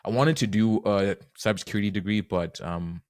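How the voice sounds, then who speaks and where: A young man from Zimbabwe speaks as a podcast guest, easygoing and earnest, leaning on casual fillers. His voice is very low. The recording is dry and close, with no room sound. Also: a little fast, darkish tone, no room sound. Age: young adult. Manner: easygoing, earnest, many "you know" fillers. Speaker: guest, penetration tester.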